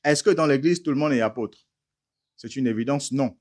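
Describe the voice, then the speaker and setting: Male preacher, average pitch, emphatic teaching sermon with rhetorical questions. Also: fairly quick, darkish tone. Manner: addresses listeners as beloved brothers.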